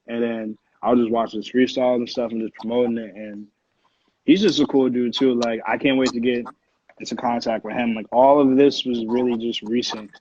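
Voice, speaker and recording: A man's voice: medium pitch, steady tone, fairly quick, a little mellow, husky, no room sound. An American man from Connecticut, a music producer chatting casually as an interview guest in informal slang peppered with "like". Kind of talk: casual chat. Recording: dull, lo-fi audio.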